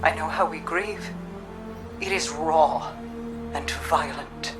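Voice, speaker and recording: Low-voiced, solemn regal sage. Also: female voice, music in the background. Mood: gentle sorrow. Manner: measured, formal, poetic. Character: solemn regal woman sage, grave and compassionate. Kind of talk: dramatic video game cutscene.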